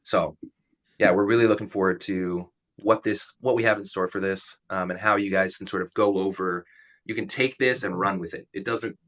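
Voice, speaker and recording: A man in his thirties hosts a casual livestream, his lowish voice raspy and stuffed up from allergies, though still bright in tone. He rambles along and makes jokes at his own expense. He sits a little back from the microphone. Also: steady tone, normal speed, far from the mic. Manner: rambling, self-deprecating joking. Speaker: livestream host.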